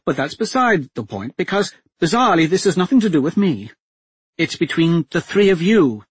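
A man's voice: on the high side, very animated, normal speed, breathy edge, no echo, pitched above the performer's own voice. A man voicing a portly middle-aged duchess who is a pushy, insistent haggler. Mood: bossy.

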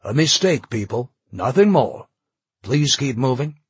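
A man voicing a calm armed man who defuses a standoff and reassures frightened refugees. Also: mid-range voice, measured pace, slightly rough, no room sound, pitched above the performer's own voice, heavily acted. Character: calm, steady man with a pistol.

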